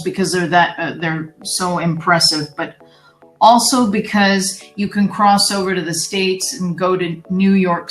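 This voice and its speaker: A woman's voice: medium pitch, a bit bright. A woman from Canada recommending places with enthusiasm. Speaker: interviewee. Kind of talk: casual recommendations. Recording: echoey room.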